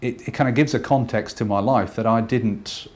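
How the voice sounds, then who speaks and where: A man from Scotland who is a painter, talking thoughtfully and unhurriedly about his work, with pauses and fillers. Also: mid-range voice.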